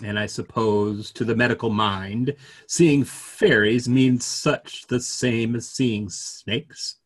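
Polite, questioning gentleman played by a man, theatrical reading, measured delivery, husky tone. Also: medium pitch, fairly even intonation. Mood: polite curiosity. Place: dim home room on a video call.

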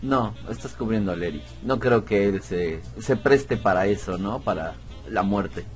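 A man's voice: fairly low pitch, expressive, normal speed, faint music behind. A man giving casual gameplay commentary, thinking aloud and muttering to himself. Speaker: gamer, video host.

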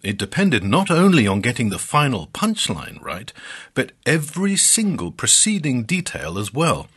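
A man narrating a scripted story as a podcast storyteller, with dramatic, measured phrasing and drawn-out emphasis. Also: mid-range voice, huge pitch swings, fairly bright tone, husky.

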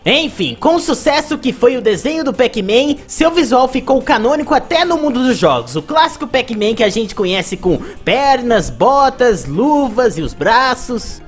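A woman with a Brazilian accent giving casual, playful scripted commentary as a chatty video game host. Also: on the high side.

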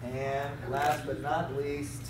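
A laid-back man jokes his way through a face painting demo. His voice sits at a middle pitch, and it sounds echoey, with clear background noise in the room. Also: little pitch movement, takes it slowly. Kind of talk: casual demonstration talk.

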